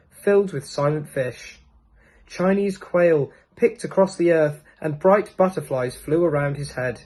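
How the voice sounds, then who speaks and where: A man narrates a children's storybook in a scripted read. His voice sits a little high and moves through a wide, animated range of pitch. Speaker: storybook reader.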